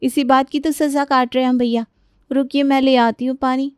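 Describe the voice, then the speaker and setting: A woman's voice: high voice, level tone, normal speed, smooth, no room sound, clean studio sound, voice pushed up, close to an everyday voice. A woman voicing a wry, helpful housewife and mother-in-law in a cartoon story, speaking expressively. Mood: weary, grumbling. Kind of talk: Hindi cartoon story character voice.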